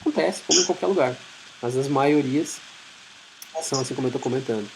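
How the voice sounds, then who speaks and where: A Brazilian man gives an informal talk in a medium-pitched voice, explaining things conversationally. His Brazilian Portuguese accent comes through over a faint noisy hiss in the recording. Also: fairly quick, gravelly, darkish tone. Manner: conversational, explanatory, acts out short imagined dialogues. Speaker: IT professional giving a talk. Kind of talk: informal presentation.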